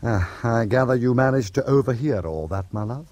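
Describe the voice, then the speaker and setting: An actor playing a wry, cool-headed criminal courier in a radio drama, speaking in a conversational way. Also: male voice, mid-range voice, a little fast, heavy background noise, lightly acted. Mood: cool, businesslike. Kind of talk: radio drama acting, anecdotal dialogue.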